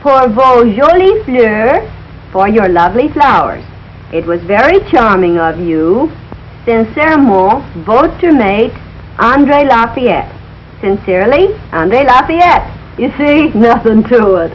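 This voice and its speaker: A sensible, exasperated sitcom mother from 1940s radio. She is wry and scolding, with a fairly high voice and very lively intonation. The recording is dull and old. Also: slow pace, slight background noise. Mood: patient. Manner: scolding, wry, matter-of-fact. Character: sensible, exasperated middle-aged wife and mother. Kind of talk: 1940s radio situation comedy acting.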